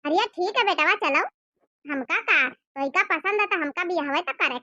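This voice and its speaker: A woman plays a homely Awadhi village mother in a comedy cartoon. Her voice is tiny, very high and sharp, close and dry, and she chatters eagerly in a folksy way. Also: measured pace, no echo, dull audio, exaggerated voice. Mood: pleased, eager.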